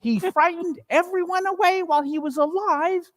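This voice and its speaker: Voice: extremely high pitch, fairly even intonation, normal speed, fairly bright tone, voice pushed up. A performer voicing a gleeful, greedy scavenger in a brazen, crowing, colloquial way for a dramatic story reading. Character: gleeful greedy scavenger woman robbing a dead man's belongings.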